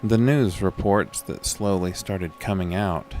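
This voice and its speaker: A man's voice: sits low, a little slow, a little mellow, soft background music. A man playing a haunted, weary and uneasy narrator in a scripted horror audio drama told in the first person. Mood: somber.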